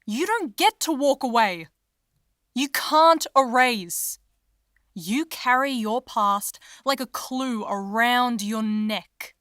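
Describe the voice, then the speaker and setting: A woman's voice: fairly high pitch, animated, slow pace, a bit bright, no room sound, clean studio sound. A woman playing a stern, accusing chorus voice in a theatrical audio tragedy. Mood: stern, relentless. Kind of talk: dramatic theatrical audio play.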